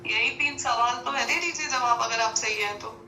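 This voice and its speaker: A high, bright female voice comes through from far off. She argues insistently and with a sense of grievance, firing rhetorical questions in an echoey, faintly noisy call recording. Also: normal speed. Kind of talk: phone call, pleading argument.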